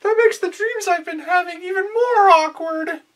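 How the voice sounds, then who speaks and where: A man's voice: very high-pitched, normal speed, fairly bright tone, pitched above the performer's own voice. A man voicing a whiny, overwrought, self-doubting little boy in an anime parody. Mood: panicked, distressed. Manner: whining, pleading, overwrought.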